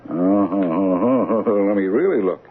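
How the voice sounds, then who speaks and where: An actor playing a dim, eager small-time crook who tries to sound educated, with slangy, hesitant, earnest talk in a radio drama. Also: male voice, low-pitched, normal speed, a little hiss or hum, natural voice. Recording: thin, tinny old radio broadcast.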